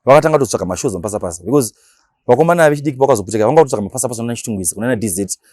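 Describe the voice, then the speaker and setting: A Shona-speaking man with a Zimbabwean accent, talking casually as an interview guest and explaining things in animated, slangy language with acted-out examples. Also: medium pitch, rapid-fire, dry recording. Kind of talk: casual interview talk mixing Shona and English.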